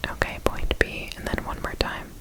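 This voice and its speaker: A woman whispering softly and breathily in an ASMR roleplay, giving gentle, reassuring step-by-step instructions as an examiner. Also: moderate pace. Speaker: ASMR performer playing an examiner.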